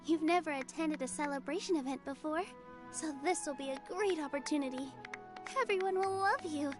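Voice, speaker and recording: An actress playing a gentle young dancer who speaks softly and reassuringly, over game music. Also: female voice, high voice, fairly quick, natural voice. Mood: encouraging. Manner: soft and reassuring. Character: gentle young female dancer, warm, encouraging.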